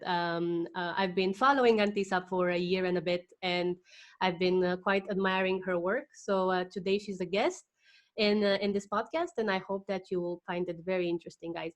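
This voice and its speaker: A woman hosting a podcast interview, introducing her guest enthusiastically and talking with frequent fillers. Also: medium pitch. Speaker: podcast host.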